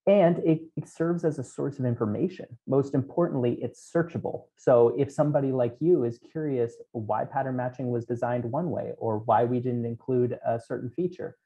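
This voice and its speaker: A man in his thirties talks through code at a remote conference, pausing on ums as he explains each step. His voice is mellow and relaxed, heard through a headset in a small room. The audio is a bit dull and lo-fi. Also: mid-range voice. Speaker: conference keynote speaker. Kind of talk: technical conference talk. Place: small room at home, indoors.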